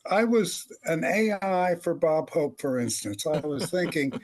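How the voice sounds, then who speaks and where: Older man telling stories, higher-pitched voice. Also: expressive, measured pace, darkish tone. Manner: rambling, wry, chuckling storyteller. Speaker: comedy writer, panelist. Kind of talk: animated anecdotal panel talk.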